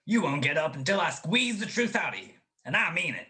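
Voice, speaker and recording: Young man acting an excitable, dopey football jock. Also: a little high, fairly quick. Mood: suspicious, blustering. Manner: excitable, blustering. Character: dopey young male ex-college football player, impulsive, lovesick. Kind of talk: 1940s radio comedy-mystery acting.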